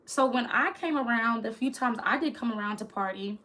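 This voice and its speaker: A woman's voice: on the high side, a little fast, a bit bright. A young woman, telling a candid storytime straight to her viewers. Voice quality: crackly voice. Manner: chatty, candid, talks to viewers as "y'all". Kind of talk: casual storytime vlog.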